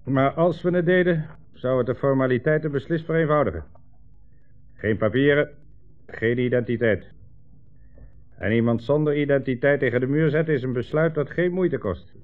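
An actor playing a menacing, sarcastic communist commissar in a 1960s radio drama, speaking imperiously and with contempt. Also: male voice, mid-range voice, moderate pace, long pauses, natural voice. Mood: cold, sneering. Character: menacing communist commissar, sneering, bullying, coldly sarcastic interrogator. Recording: tinny, band-limited old radio recording, faint music.

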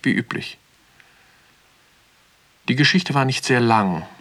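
An actor playing a reflective magazine writer who tells his own story in a measured radio drama narration. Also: mid-range voice, lively intonation, a bit bright. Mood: matter-of-fact reflection.

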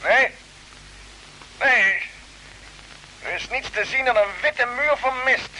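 A space captain in a vintage radio serial, his voice high and sharp over a noisy old recording. He stays brisk and reassuring under pressure, sounding sure of himself. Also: heavily acted. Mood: urgent, determined. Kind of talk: old Dutch radio drama acting.

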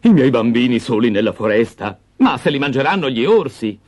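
A man's voice: lowish voice, moderate pace, natural voice. A man as a worried poor woodcutter. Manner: anxious and pleading.

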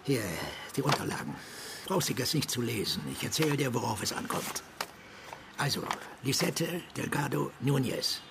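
Casting: gruff male police boss, gravelly, clipped and bossy, radio crime drama with noisy background. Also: mid-range voice, lively intonation, normal speed. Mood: urgent, grumbling. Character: gruff, blunt police chief briefing his detective. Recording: noisy room ambience behind.

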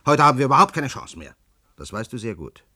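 An actor playing a disillusioned, pragmatic middle-aged scientist in a radio play, cynical and resigned. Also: male voice, mid-range voice, big pitch swings, fast talker, bright, forceful tone, husky, full character voice. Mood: irritated, defensive. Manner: resigned, cynical, flaring into irritation.

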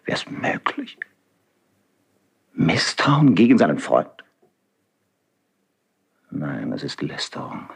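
A brooding, loyal nobleman in classical radio drama. His voice is very low and gravelly, and he speaks lyrical verse solemnly, protective of his friend. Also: huge pitch swings, slow, long pauses, exaggerated voice. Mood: troubled, tender. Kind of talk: 1970s German radio play acting.

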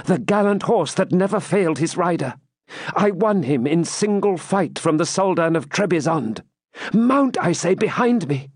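A man's voice: high-pitched, no echo, studio quality, voice pushed up, full character voice. A man voicing a proud, fierce male Templar knight, declaiming in archaic, impassioned speech.

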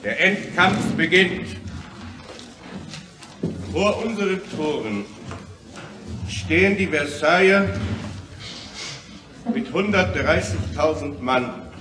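Distant echoey hall, revolutionary chairman, grave, slow, solemn, declamatory, radio drama oratory. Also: a little high, animated. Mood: grave, urgent. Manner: solemn, declamatory, broken into short phrases. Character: grave revolutionary chairman warning the assembled delegates.

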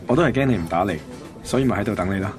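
A man's voice: lowish voice, expressive, racing, music in the background, natural voice. A man with a Hong Kong Cantonese accent playing an earnest, reserved young professional in a radio drama. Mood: reserved, awkward. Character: earnest, reserved young male architect turned organic farming manager. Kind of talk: radio drama narration and dialogue.

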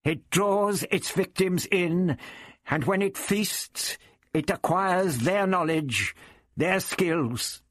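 A man voicing a grave, protective old doctor with emphatic, halting exclamations in a science fiction audiobook. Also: high voice, fairly bright tone, no echo, studio quality, voice pushed up, full character voice. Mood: explaining.

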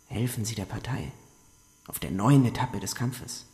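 An actor in a German radio drama playing a menacing Soviet secret police interrogator, formal and insistent. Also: male voice, fairly low pitch, animated, measured pace, a little mellow. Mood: coldly persuasive. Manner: insistent, coaxing, formal bureaucratic address. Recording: long echoing hall reverb.

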